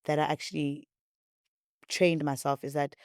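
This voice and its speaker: A young woman with a South African accent and a deep voice, hosting her podcast in a rambling, repetitive, chatty way. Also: flat delivery, moderate pace, a little mellow, smooth, dry recording, clean studio sound. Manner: rambling, repetitive, chatty, says she talks a lot. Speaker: podcast host. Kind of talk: casual chat to viewers.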